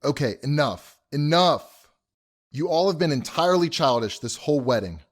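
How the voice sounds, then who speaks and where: A man's voice: fairly high pitch, animated, normal speed. A man playing an exasperated, scolding young groom in an audio drama. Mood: angry, hurt. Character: exasperated groom, scolding his wedding party.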